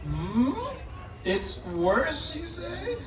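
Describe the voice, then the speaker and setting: A performer playing a wise village rabbi and storyteller in a children's puppet show, deliberate and musing. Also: sits high, sing-song, over music, heavily acted. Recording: echoey, distant stage with audience noise.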